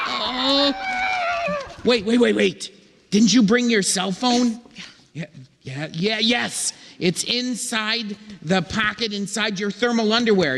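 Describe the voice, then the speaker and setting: In a reverberant theatre, a man acts out an excitable stranded fisherman for old-time radio comedy. His voice is high and slow-paced, and he stammers and repeats himself with dramatic, flustered urgency. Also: background noise, exaggerated voice. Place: theatre stage. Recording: echoey theatre hall with long reverb.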